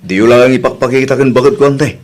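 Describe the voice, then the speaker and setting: A man's voice: mid-range voice, fast, a little mellow, lightly acted. A man with an Ilocano accent playing a considerate, trusting husband in a radio drama.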